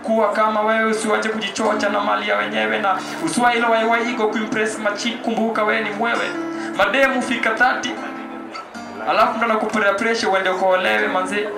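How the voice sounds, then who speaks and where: A man's voice: high voice, flat delivery, fairly quick, creaky, roomy sound, music in the background, poor audio. A Kenyan man hosting a poetry night and reciting rhyming spoken word, switching between Swahili slang and English. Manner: rhythmic rhyming delivery, mixing Swahili and English. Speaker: poetry event host and spoken word poet. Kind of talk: live stage hosting and spoken word in Sheng. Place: large hall with live audience.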